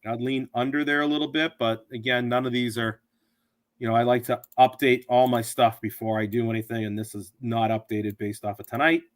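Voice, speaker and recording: A hoarse man with a sore throat, rambling through his betting picks as a sports show host. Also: medium pitch, flat delivery, a little fast, dry recording, studio quality. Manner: rambling, thinks aloud with many fillers and restarts. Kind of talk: casual betting picks talk. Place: home.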